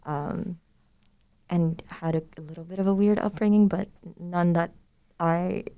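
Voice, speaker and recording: A woman's voice: lowish voice, a little slow, narrow, tinny audio. A young woman from Somalia, a student club president, talking casually and at length as a podcast guest. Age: young adult. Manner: relaxed, friendly, explains at length with fillers. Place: room with others.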